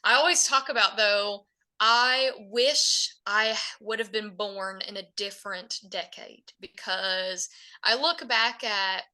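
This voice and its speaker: Bright-voiced young Appalachian woman. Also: mid-range voice, takes it slowly, low-quality recording. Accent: Southern Appalachian American. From Virginia, USA. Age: young adult. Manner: chatty and earnest, rambling run-on answers. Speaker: country singer guest. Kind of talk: casual podcast interview.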